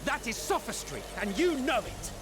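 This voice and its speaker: A high male voice that swings enormously in pitch as an outraged, defiant man in a fantasy game. He is emphatic and theatrical, arguing heatedly over music. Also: sing-song, moderate pace. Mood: indignant, outraged. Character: indignant, defiant man arguing against doom. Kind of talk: dramatic video game acting. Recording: music behind the voice.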